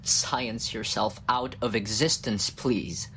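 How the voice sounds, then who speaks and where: A man's voice: on the low side, fairly even intonation, slow, bright, forceful tone, slight background noise, full character voice. A male voice actor playing an exasperated, sarcastic scientist in an ASMR audio roleplay, whiny and weary. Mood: weary, annoyed.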